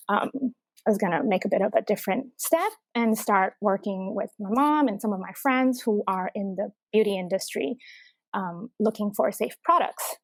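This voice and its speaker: A woman from Taiwan, a company representative, talking casually in an interview and pausing often with filler words. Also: mid-range voice, dry recording, poor audio. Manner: halting, full of filler words.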